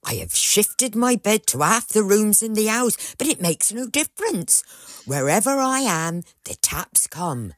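An actress playing an anxious, deferential old British housekeeper who confides gossip in an audio drama. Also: female voice, mid-range voice, sing-song, unhurried, no room sound, studio quality. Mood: uneasy. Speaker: actor.

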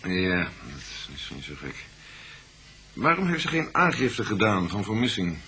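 An actor playing a businesslike, probing chief inspector in a Dutch radio police drama, questioning and giving orders. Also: male voice, fairly low pitch, sing-song, measured pace, sharp tone, heavily acted.

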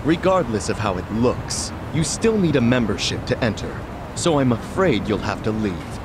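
A man's voice: medium pitch, very animated, normal speed, noisy background, close to an everyday voice. An actor playing a polite, rule-bound middle-aged club doorman in a video game, speaking formally and firmly. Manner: formal, firm.

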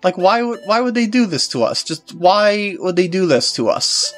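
Animated, rambling game walkthrough host. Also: medium pitch, moderate pace, faint music behind. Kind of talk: casual gameplay commentary.

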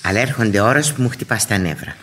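A woman's voice: extremely low pitch, slight background noise, exaggerated voice. An actress playing a genteel, motherly but exasperated aunt in a theatrical radio play. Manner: theatrical, animated.

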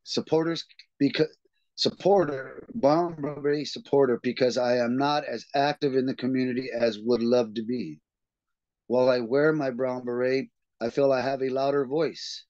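Man, reading group host, earnest steady reading, dull lo-fi call audio, dry sound. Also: mid-range voice, moderate pace, dry recording. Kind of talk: reading a text aloud, then group discussion.

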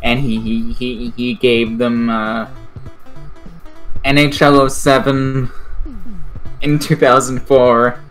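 A slow-talking man with a mid-pitched voice chats on a podcast call. His delivery is casual and halting, with guitar music underneath. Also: little pitch movement, fairly bright tone, low-quality recording. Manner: halting, refers to himself in third person. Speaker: podcast panelist. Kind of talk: casual chat. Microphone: voice call microphone.

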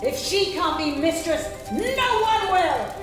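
A menacing, deranged female spectre in an old-time radio drama. Her shrill, vengeful voice swoops wildly and stretches slowly through a cavernous echo over loud music. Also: animated, heavily acted. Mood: vengeful glee. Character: vengeful shrieking ghostly mad housekeeper woman.

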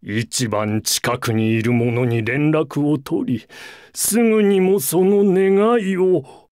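Anime game elder: ancient wise alien, solemn and weary, unhurried, slightly high for a man, music behind. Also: a little mellow, no echo, clean studio sound. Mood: calm, weary. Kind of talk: anime video game acting.

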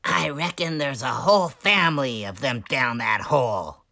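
A sour farmer villain from a children's storybook, put on by a male reader. He grumbles and schemes in a gravelly, creaky voice with a sharp, tense edge. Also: medium pitch, expressive, moderate pace. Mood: grumbling.

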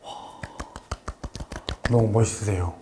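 A man's voice: on the low side, steady tone, takes it slowly, background noise. A man in his twenties hosting a casual interview over a meal, polite and curious, laughing often. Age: 20s. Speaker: host.

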